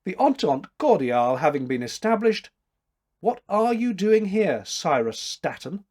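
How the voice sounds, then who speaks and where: A man's voice: high-pitched, big pitch swings, unhurried, darkish tone, pitched above the performer's own voice, full character voice. A man voicing a stately older woman in a storybook, blunt and teasing, with formal, arch wit. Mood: amused, stately.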